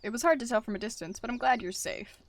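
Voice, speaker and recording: A woman voicing an angry, scheming male warrior cat deputy, often in a hushed, urgent tone. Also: on the high side, fast, dry recording, close to an everyday voice. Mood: tense.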